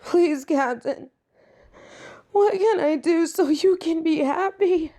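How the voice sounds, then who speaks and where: A woman's voice: very high voice, slow, mellow, heavily acted. A woman playing a flirty, proud catgirl thief in an intimate audio roleplay, speaking dramatically and emotively to one listener. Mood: imploring.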